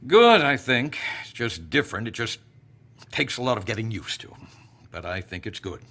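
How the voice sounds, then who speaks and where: Bright-voiced, genial facility director. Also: male voice, mid-range voice, big pitch swings, normal speed. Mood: affable, guarded. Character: genial, evasive male director of a secret facility. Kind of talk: modern audio drama acting.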